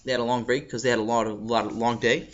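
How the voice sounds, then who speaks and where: A man voicing Sammy, a pushy, excitable schoolboy who pesters and protests his innocence. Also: fast talker, lo-fi sound, natural voice. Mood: nagging. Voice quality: high, bright, loud.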